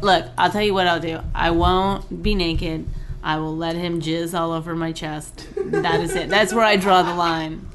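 A woman's voice: fairly low pitch, steady tone, a little slow, a crowd in the background. A woman co-hosting a comedy podcast, blunt, sarcastic and crude in casual banter. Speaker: podcast co-host.

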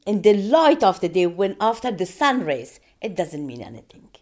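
A woman, the host's mother, chiming in lively during a family chat. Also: mid-range voice, normal speed. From Afghanistan.

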